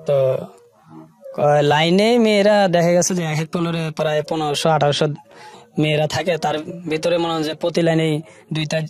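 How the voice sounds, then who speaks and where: A man with a Bangladeshi accent telling his life story as an interview guest, in colloquial, halting short phrases. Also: a little high, fairly even intonation, a little mellow, faint music behind.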